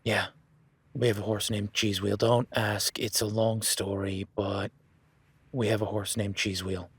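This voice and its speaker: A man's voice: on the low side, little pitch movement, unhurried, breathy edge, dry recording. A man voicing an easygoing male cowboy ranch hand in an audio roleplay, talking in a laid-back, folksy way with casual swearing.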